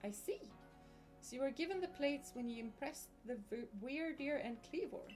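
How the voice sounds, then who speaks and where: A gushing, old-fashioned professor, as a woman puts him on, speaks in a patchy attempt at a Scottish accent. His voice sits fairly high and rings with formal, theatrical enthusiasm. Faint game music plays underneath. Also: lively intonation, moderate pace, darkish tone. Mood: excited wonder. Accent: put-on Scottish attempt.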